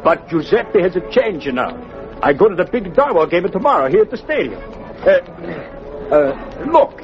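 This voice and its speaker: Effusive Italian restaurateur: high, swooping voice, heavy accent, broken English, old radio drama, dull recording. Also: male voice, sing-song, moderate pace, over music. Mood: effusive, eager. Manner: broken English, refers to himself by name. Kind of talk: old-time radio acting.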